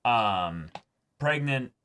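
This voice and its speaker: A man co-hosting a reaction show, talking casually and haltingly as he thinks aloud about an anime episode, with emphatic swearing. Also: medium pitch, expressive, a bit bright.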